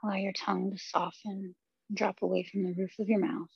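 Husky woman guiding a slow meditation. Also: mid-range voice, little pitch movement, dry recording, highs cut off. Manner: gentle, unhurried instruction with long pauses. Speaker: yoga meditation teacher. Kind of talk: guided body scan meditation.

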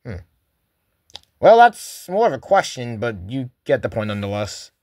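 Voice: medium pitch, big pitch swings, normal speed, noisy background. A commentator giving a casual, sarcastic scripted critique of a cartoon. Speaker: host, commentator.

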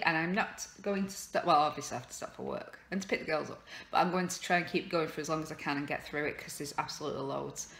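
A chatty English woman in her thirties talks straight to a camera held at arm's length as she sits on her sofa at home. Her voice sits low, and her talk rambles easily along with plenty of ums. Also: sharp tone. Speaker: vlogger. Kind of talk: casual chatty vlog. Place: living room sofa at home.

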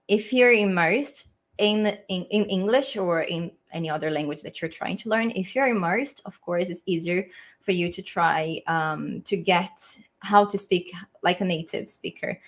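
A young woman from Brazil with a Brazilian Portuguese accent, giving English learning tips on a live stream in a small home room, pausing often with ums and restarts. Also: mid-range voice. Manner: hesitant, many ums and restarts, friendly. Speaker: English teacher. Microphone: webcam framing, desk mic.

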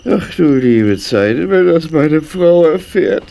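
An actor playing a muddled, sheepish husband in an audio drama, groggy and stammering. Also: male voice, on the high side, expressive, slow, gravelly, warm tone, heavily acted. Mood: groggy, dismayed.

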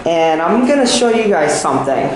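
Male elevator vlog host, casual and keen, slightly high voice, recorded on a camera in a ringing steel cab with steady noise. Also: normal speed, heavy reverb. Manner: chatty, enthusiastic about elevator fixtures. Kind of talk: casual chat while filming. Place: inside a large steel elevator in a mall. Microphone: handheld camera.

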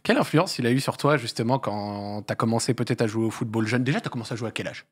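A man's voice: mid-range voice, fast, breathy edge. A man in his thirties hosting a studio podcast, casually asking friendly, long multi-part questions. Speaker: podcast host. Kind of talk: casual interview chat. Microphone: desk microphone.

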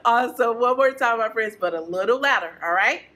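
A woman's voice: on the high side, animated, a little fast, pushed and bright. A young woman presenting a preschool storytime, speaking to small children in a singsong, encouraging way. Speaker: children's librarian and storytime host. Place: small indoor children's library corner.

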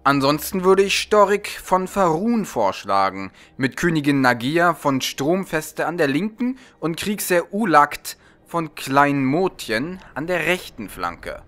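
A man narrates as a courtly serpent-man advisor from a fantasy storybook. He speaks formally and deferentially, with a raised, bright voice and lively swings of pitch, calculating beneath the courtesy. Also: a little slow, few pauses. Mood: counseling, courteous.

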